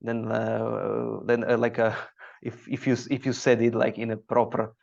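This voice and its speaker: A man from Slovakia with a Slovak accent, speaking English as a second language, chatting haltingly as a guest comedian on a podcast. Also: medium pitch, level tone, creaky, a little mellow. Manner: halting, searching for words. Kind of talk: casual podcast conversation. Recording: dull lo-fi audio.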